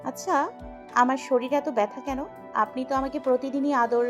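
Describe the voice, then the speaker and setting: A woman's voice: high-pitched, steady tone, normal speed, faint music behind, close to an everyday voice. A woman with a Bangladeshi accent playing an affectionate, playful young wife in an audio love story, cooing and teasing. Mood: puzzled.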